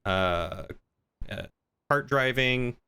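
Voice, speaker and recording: A man's voice: mid-range voice, lively intonation, extremely slow, sharp tone, clear, resonant tone. A man improvising in-character roleplay chat as a courteous, folksy tavern keeper in an online game.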